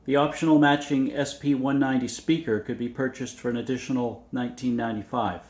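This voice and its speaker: A man presenting a scripted technical overview in a measured, matter-of-fact way, reading out details methodically. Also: mid-range voice, steady tone, darkish tone. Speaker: presenter of vintage radio videos. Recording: roomy, echoey indoor sound.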